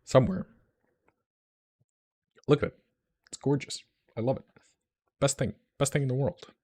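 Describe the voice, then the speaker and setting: A man's voice: medium pitch, steady tone, normal speed, darkish tone. A young Icelandic man chatting casually on a livestream, rambling and enthusiastic, with many fillers and restarts. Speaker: streamer.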